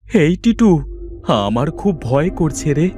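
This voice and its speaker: Man playing a slow, frightened village captive. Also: on the high side, big pitch swings, music in the background. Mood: anxious. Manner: pleading, anxious. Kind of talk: cartoon horror folk tale acting.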